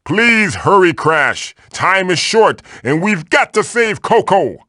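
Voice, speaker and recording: A man's voice: high voice, very animated, slow, bright, forceful tone, no room sound, clean studio sound, heavily acted. A man voicing an old magical mask, a bossy, wisecracking mentor guiding the player in a video game with theatrical, wry delivery. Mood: urgent, impatient.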